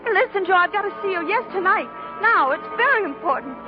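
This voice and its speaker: Young working woman, high animated voice, earnest and anxious, 1940s radio acting, dull noisy recording. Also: a little fast, music in the background. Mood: alarmed, urgent.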